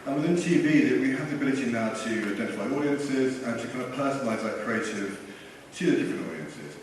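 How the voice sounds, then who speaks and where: A man's voice: medium pitch, quick pace. A man speaking on a conference panel, hesitant and leaning on filler phrases. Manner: hesitant, leans on "kind of" and "you know". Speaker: panelist, tech co-founder. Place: large conference hall. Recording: echoey hall from far off, faint noise.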